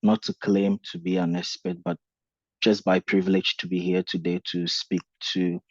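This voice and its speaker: Young man, from Ghana, guest speaker, very deep, monotone, dry dull recording. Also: normal speed, no room sound. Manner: earnest, humble, with many fillers and restarts. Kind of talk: conference presentation.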